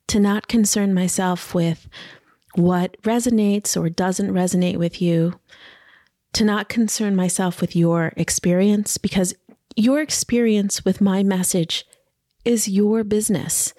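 A woman hosts her podcast from home in an unhurried, reflective monologue, letting long pauses hang between her thoughts. Her voice sounds a little scratchy from allergies, yet it stays soft and gentle at a middle pitch. The recording is dry and close, with no room echo. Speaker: podcast host. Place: home by a river, indoors, wind outside.

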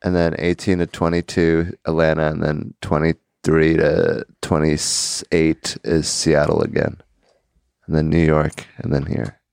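A young man talking in casual chat, deadpan and halting, with pauses and fillers. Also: rumbling low, vocal fry, clear, resonant tone, no room sound, clean studio sound. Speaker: comedian guest.

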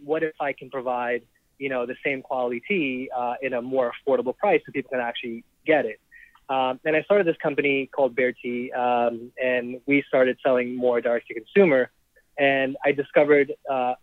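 A man's voice: mid-range voice, no room sound. Man on a tinny, dry remote line. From Trinidad and Tobago. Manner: relaxed, laughs often, many ums and restarts. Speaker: startup founder guest. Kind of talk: casual storytelling interview.

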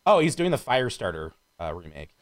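A man's voice: medium pitch, big pitch swings, a little fast. A man chatting casually and enthusiastically about films as a podcast co-host, with slangy, profane phrasing.

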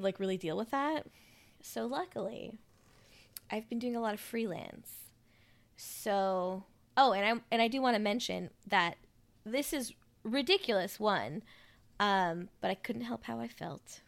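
A woman co-hosting a casual chat podcast, hoarse and raspy from a cold, joking and laughing often. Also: medium pitch. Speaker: podcast co-host.

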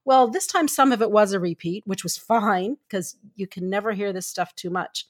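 A woman's voice: mid-range voice, normal speed. A woman from Canada co-hosting a podcast, talking in a casual, chatty way and laughing easily. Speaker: podcast co-host, story editor.

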